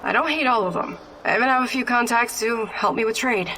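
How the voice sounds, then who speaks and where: A woman's voice: on the high side, a little fast, bright, forceful tone, a little hiss or hum, poor audio. An actress playing a fiery, impatient young female rebel fighter in a video game, blunt, combative and bitter. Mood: impatient, angry. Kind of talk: video game character acting.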